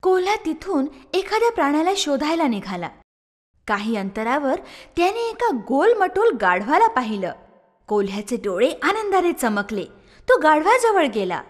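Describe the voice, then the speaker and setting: High, animated woman storyteller. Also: moderate pace, in a large hall. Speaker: narrator. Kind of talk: expressive scripted children's storytelling.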